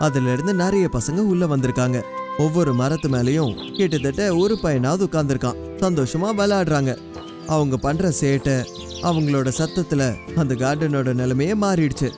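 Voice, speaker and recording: A man's voice: fairly high pitch, normal speed. A man narrating a scripted children's story in a colloquial storytelling manner. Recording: music behind the voice.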